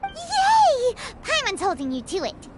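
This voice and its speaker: A woman's voice: huge pitch swings, slow, heavy background noise, heavily acted. A woman voicing a tiny childlike fairy companion in a video game, perky and easily miffed, with cartoonish delivery. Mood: excited. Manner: squeaky, refers to herself in third person.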